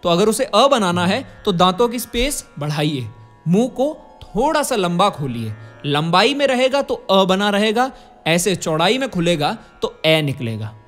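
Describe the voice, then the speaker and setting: A man's voice: high-pitched, sing-song, a little slow, fairly bright tone. A man in his twenties with an Indian accent, teaching vocal music in an explanatory way, demonstrating sounds and checking in with tag questions. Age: 20s. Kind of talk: instructional talk on singing and diction. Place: indoor curtained studio. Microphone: studio condenser microphone close in front.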